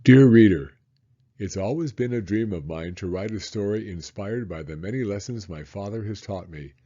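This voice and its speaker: A man narrates for children in a read-aloud style. His voice is dark and a little raspy, with animated intonation, on a slightly dull recording. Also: fairly low pitch, normal speed. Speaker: children's story reader.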